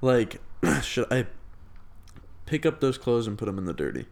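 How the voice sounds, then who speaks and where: A twenty-year-old man co-hosting a podcast, talking earnestly in casual chat and getting louder when he makes a point. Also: mid-range voice, sing-song, normal speed, vocal fry. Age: 20s. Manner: earnest, rising loud when making a point. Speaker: podcast co-host.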